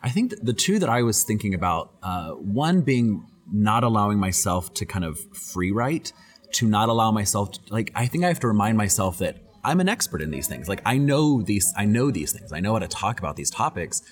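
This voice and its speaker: Very deep voiced young guy on a podcast, thinking out loud, repeats himself, laughs easy. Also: sing-song, fairly quick. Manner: thoughtful, repeats words while thinking, laughs easily. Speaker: podcast guest, professor. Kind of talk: casual interview answers.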